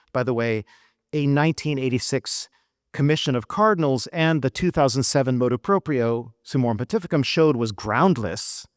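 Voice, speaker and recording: A middle-aged American man giving a formal keynote speech at a gala, with an earnest, emphatic delivery and measured pauses. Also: on the high side, darkish tone, dry recording, studio quality. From United States. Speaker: keynote speaker. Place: event hall.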